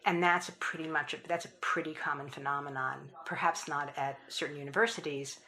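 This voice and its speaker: A woman's voice: sits low, fairly even intonation, fairly quick. A middle-aged woman, a philanthropist and former professor, talking thoughtfully in an interview and often restarting her sentences. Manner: thoughtful, with frequent restarts and soft laughs. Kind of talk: conversational interview.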